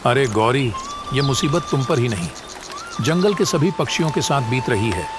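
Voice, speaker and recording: A man's voice: medium pitch, expressive, normal speed, over music, lightly acted. A man voicing a kindly, assured older brother crow who gives advice in a cartoon. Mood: concerned.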